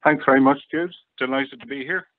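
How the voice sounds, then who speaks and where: A man's voice: medium pitch, steady tone, fairly quick, dry recording. A middle-aged Irish man chatting casually as an interview guest, reminiscing over a call. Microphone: online call or phone line. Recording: muffled phone-like, dry online call.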